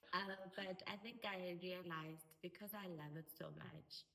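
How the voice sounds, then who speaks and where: A woman's voice: fairly low pitch, fairly even intonation, a little slow, vocal fry, fairly bright tone. A South African woman in her thirties, an Afrikaans first-language speaker, talking openly and reflectively as an interview guest. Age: 30s. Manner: open and reflective, laughs easily. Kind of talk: candid personal interview.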